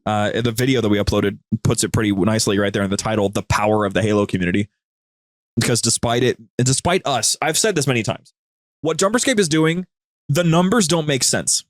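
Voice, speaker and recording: A man's voice: mid-range voice, a little fast, no echo, studio quality. A man chatting casually as a podcast guest, telling stories in a rambling, emphatic way. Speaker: podcast guest, co-host of a gaming media group. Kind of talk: casual chat, storytelling.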